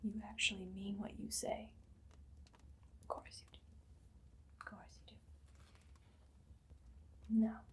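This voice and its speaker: Woman playing a whispering evil queen, softly menacing. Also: mid-range voice, flat delivery, natural voice. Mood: coolly threatening. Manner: soft, slow, commanding, mostly whispered. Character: evil, menacing queen giving orders with dry humor. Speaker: ASMR roleplay performer. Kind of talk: whispered ASMR roleplay.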